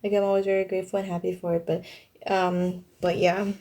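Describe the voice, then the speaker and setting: A woman's voice: on the low side, level tone, brisk, mellow. A young woman from Thailand casually chatting on a livestream and answering viewers, with informal, youthful slang.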